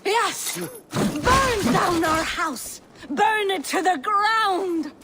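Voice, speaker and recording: Casting: bold, earthy Viking wife, slow and high, game drama. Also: female voice, lively intonation, heavy background noise, full character voice. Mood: excited, lusty. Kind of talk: video game acting.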